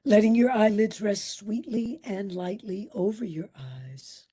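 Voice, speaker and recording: A woman leads a guided meditation in a soft-edged, breathy voice. Her delivery is very slow and soothing, with long pauses between short phrases, and her tone is dark and mellow. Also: mid-range voice. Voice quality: breathy, at times near a whisper. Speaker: meditation instructor.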